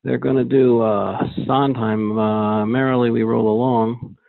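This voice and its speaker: A man's voice: mid-range voice, steady tone, measured pace, gravelly, dry recording. A man chatting casually, calling into a meeting by telephone. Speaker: club member. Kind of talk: casual chat. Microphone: phone call. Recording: tinny, narrow phone line.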